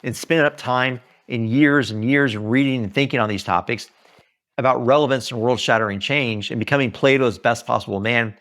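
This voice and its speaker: A man's voice: medium pitch, a bit bright. Male author-narrator, mid-range voice, very varied intonation, earnest preacher-like emphasis for a self-read audiobook. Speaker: author reading own book aloud. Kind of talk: expressive audiobook-style reading.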